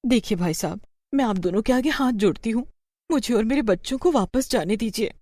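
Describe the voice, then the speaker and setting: Anxious protective mother, story role, played by a woman: fretful, animated, mellow, faint music. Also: fairly high pitch, fairly quick, no echo, clean studio sound, voice pushed up. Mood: pleading. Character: anxious young mother, scolding yet protective.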